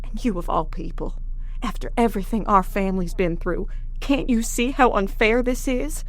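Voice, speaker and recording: A woman's voice: mid-range voice, normal speed, no echo, lightly acted, low-quality recording. An actress playing a protective, indignant older grandmother in an audio drama, speaking in a pointed, pleading way.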